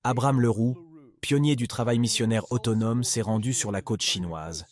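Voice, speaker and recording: A man giving a measured, scripted voice-over for a history segment. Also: medium pitch. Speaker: dubbing voice for a history segment host.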